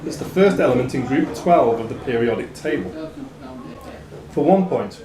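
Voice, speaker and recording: A man reading quiz questions to players as quizmaster, in a measured, formal way with dry asides. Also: medium pitch, lively intonation. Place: pub room during a live quiz. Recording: echoey pub room, chatter behind.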